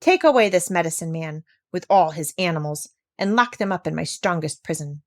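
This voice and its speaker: A woman's voice: lowish voice, expressive, fairly quick. A woman voicing a haughty, easily frightened African king in a storybook read-aloud, with a commanding, indignant manner. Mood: stern.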